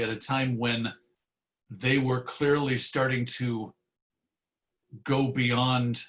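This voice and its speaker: A man's voice: medium pitch, a bit bright. Articulate man telling anecdotes in an interview. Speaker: interview guest, radio historian. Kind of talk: conversational interview storytelling.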